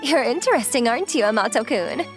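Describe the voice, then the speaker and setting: Actress as confident college-age girl, playful, inquisitive, easygoing, anime dub, very animated delivery, music underneath. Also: on the high side, fast, close to an everyday voice. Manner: forward, playful, casually inquisitive. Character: confident, teasing, breezy young female model and student. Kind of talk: anime-style dub acting. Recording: music behind the voice.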